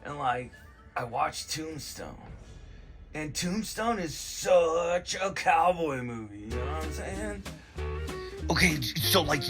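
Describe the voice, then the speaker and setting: A man giving a rambling, casual comedic movie recap, slangy and profane, excitable and meandering in his storytelling. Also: fairly high pitch.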